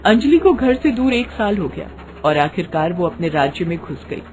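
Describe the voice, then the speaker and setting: A woman's voice: fairly low pitch, sing-song, moderate pace, darkish tone. A woman narrating a scripted bedtime story in a dramatic storytelling style.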